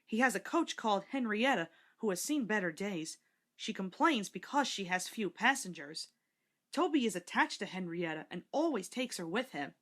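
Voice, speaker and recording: A woman's voice: medium pitch, animated, normal speed, a bit bright. A woman reading a scripted children's story aloud as narrator.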